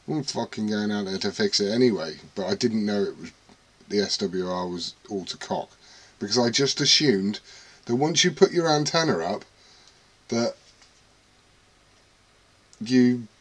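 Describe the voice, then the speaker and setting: A British man vlogging casually from his radio shack, rambling, swearing freely and poking fun at himself. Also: fairly low pitch. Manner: sweary, self-deprecating, rambling. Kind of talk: casual rambling chat to camera.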